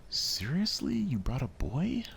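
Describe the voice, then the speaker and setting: A man voicing a small, moody high school girl from a visual novel, snappy and abrasive. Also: huge pitch swings, measured pace, creaky, fairly bright tone, a little hiss or hum, exaggerated voice. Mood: disgusted.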